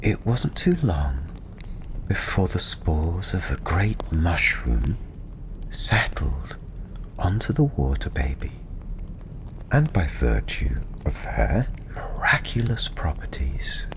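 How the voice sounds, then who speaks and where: Very deep, hushed tavern storyteller played by a man. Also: sing-song, full character voice. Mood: hushed, soothing. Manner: hushed, gentle, unhurried, often half-whispered. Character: welcoming, soothing fantasy tavern storyteller host. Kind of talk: softly spoken ASMR roleplay storytelling. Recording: clearly audible ambient background noise.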